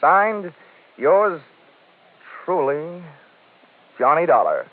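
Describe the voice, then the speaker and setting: An actor playing a wisecracking, tough-talking insurance investigator in the style of a 1940s radio detective drama. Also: male voice, fairly high pitch, sing-song, drawn-out words, full character voice. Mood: dry, amused. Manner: sardonic, wry, tough-talking. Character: wisecracking hard-boiled insurance investigator, adult man. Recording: muffled vintage radio recording, music behind.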